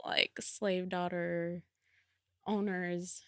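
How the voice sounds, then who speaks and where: A woman's voice: lowish voice, flat delivery, very slow, clear, resonant tone, no echo. A woman hosting a podcast in a studio, chatting casually, teasing her friends and laughing often. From United States. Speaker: podcast host. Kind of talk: casual chat. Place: recording studio.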